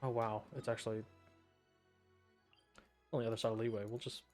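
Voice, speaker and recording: A woman streamer giving casual, offhand game commentary in a halting, amused way. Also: very deep voice, level tone, darkish tone, faint music behind.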